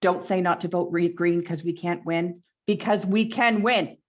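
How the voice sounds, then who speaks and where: A woman's voice: on the low side, steady tone, normal speed, highs cut off. A woman candidate giving a passionate forum speech, repeating rhetorical questions. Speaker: Green Party candidate. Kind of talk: debate closing statement.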